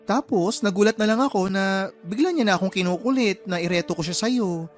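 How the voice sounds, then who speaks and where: A man's voice: high-pitched, brisk, faint music behind, natural voice. A man playing a chatty, teasing young male friend in a Filipino radio drama, with a Filipino accent and colloquial, exclaiming delivery. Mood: hesitant, confiding.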